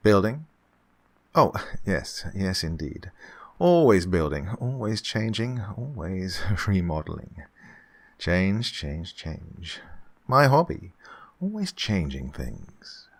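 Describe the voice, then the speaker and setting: Male actor, suave murderous employer, low gravelly voice, oily and gloating, fussy repetition, radio horror play. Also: big pitch swings, slow, full character voice. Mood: eager, oily. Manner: fussy repetition, oily politeness, chuckling menace. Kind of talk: old-time radio horror acting.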